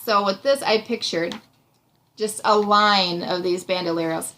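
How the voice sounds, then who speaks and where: A woman's voice: medium pitch, fairly even intonation, unhurried, pushed and bright. A woman reads a storybook aloud and discusses it in an encouraging, explanatory way. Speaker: reading tutor reading aloud to a child. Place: small room indoors.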